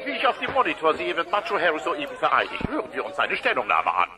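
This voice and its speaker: An actor playing a slick, overeager dinosaur news reporter, with emphatic announcer delivery in a parody audio play. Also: male voice, a little high, huge pitch swings, quick pace, a loud crowd, very noisy. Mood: eager.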